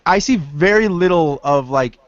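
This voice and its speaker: Brief: thirties male guest, slightly high pitch, stumbling and restarting, dry close sound. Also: lively intonation, normal speed, dry recording, highs cut off. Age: 30s. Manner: hesitant, restarts often. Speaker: podcast guest. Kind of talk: casual group chat.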